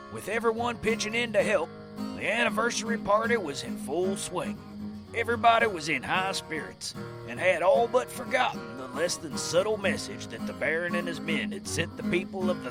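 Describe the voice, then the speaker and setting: A man's voice: sits high, animated, normal speed. A man narrating a Western tale in a folksy, dramatic storyteller manner over guitar music.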